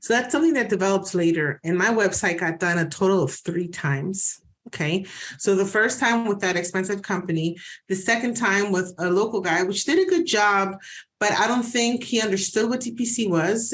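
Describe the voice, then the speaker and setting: A woman's voice: medium pitch, normal speed. A woman physician from New Jersey, whose first language is Spanish, chatting in an interview and telling anecdotes with frequent restarts. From New Jersey, USA. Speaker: physician, guest. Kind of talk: casual interview conversation.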